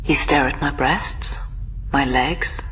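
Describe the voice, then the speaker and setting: An actress in a radio drama playing a prim, ageing woman who watches over a quarantined prisoner, speaking in a formal, measured and solemn way. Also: extremely low pitch, a little hiss or hum, exaggerated voice, low-quality recording. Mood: prim reproof. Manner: formal, measured, solemn, breathy hushed moments.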